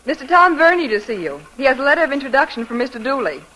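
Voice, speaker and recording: A woman's voice: high voice, big pitch swings, rapid-fire, sharp tone, exaggerated voice, rough recording. An actress playing a flirty, wisecracking female receptionist in 1940s radio comedy, with a sassy, teasing manner. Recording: old radio broadcast, faint noise.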